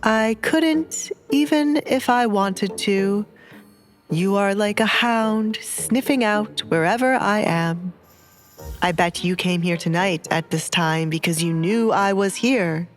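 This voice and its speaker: A woman voicing an exasperated, flustered male priest in an audio drama, speaking in a deliberate, protesting manner. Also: mid-range voice, faint music behind, pitched above the performer's own voice, close to an everyday voice. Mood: accusing, weary.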